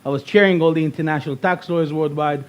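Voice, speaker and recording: Middle-aged Israeli-born speaker, high voice, punchy and repetitive, workshop presenter. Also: male voice, fast talker, highs cut off. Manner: animated, emphatic, repeats key phrases. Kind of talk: motivational workshop talk.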